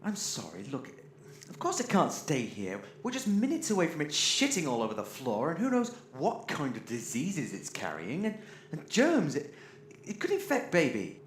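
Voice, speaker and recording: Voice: medium pitch, huge pitch swings, moderate pace. Someone acting a snarky fussy robot, swoopy dramatic delivery, whiny complaints, echoey, sci-fi radio play. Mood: grumbling. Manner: theatrical, sarcastic, complaining. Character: sardonic, ranting, greedy, fussy robot crew member. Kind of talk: science fiction audio drama acting. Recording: roomy echo, faint noise.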